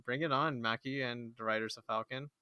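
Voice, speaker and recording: A man co-hosting a casual movie review podcast, talking eagerly and stumbling over his words when excited. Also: mid-range voice, a little fast, smooth, no room sound. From Filipino and Chinese heritage. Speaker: podcast co-host.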